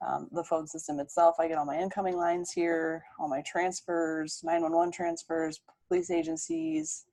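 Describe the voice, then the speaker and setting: A woman's voice: lowish voice, darkish tone, rough recording. A woman dispatcher explaining the job and workstation in a conversational, matter-of-fact way. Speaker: emergency dispatcher.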